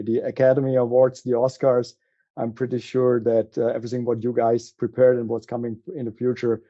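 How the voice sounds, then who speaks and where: German-accented man with a very dark voice. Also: mid-range voice, highs cut off. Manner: halting, with frequent fillers and restarts. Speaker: podcast guest. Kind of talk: conversational interview.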